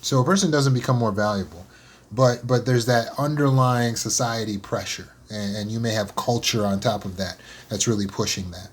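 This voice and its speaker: A man speaking as a motivational speaker, in an earnest, unscripted solo talk. He repeats words as he thinks aloud, slows down on key phrases and speeds through lists. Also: on the low side, a little hiss or hum.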